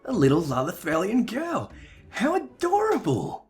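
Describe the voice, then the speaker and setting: A man's voice: sits high, huge pitch swings, measured pace, darkish tone, faint music behind, voice pushed up, full character voice. A man voicing a bubbly, naive young female assistant from a video game, gushing and exclaiming. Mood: adoring.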